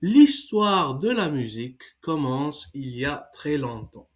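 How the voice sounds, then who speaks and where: A man with a cold, slowly reading a text for learners and then explaining exercises in English with many hesitations. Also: a little high, big pitch swings. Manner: slow, careful reading with hesitant fillers. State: has a cold. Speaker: French teacher.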